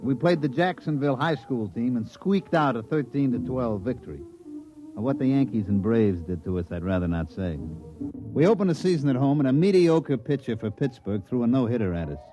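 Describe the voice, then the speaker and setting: A man's voice: medium pitch, expressive, fairly quick, dull audio, over music, close to an everyday voice. A man acting a folksy, wry baseball coach in a 1950s radio drama, with dry, colloquial delivery.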